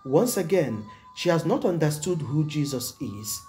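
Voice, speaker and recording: Mellow-voiced priest with lively intonation. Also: male voice, fairly high pitch. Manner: measured, solemn, formal delivery. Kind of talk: scripted homily. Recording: music behind the voice. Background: background music.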